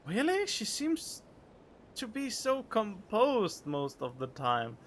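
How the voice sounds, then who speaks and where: A man giving playful, theatrical gameplay commentary into a close microphone, jokey and excitable in his reactions. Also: high-pitched, takes it slowly, slight background noise. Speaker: streamer playing a game. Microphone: close studio microphone. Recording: game music behind the voice.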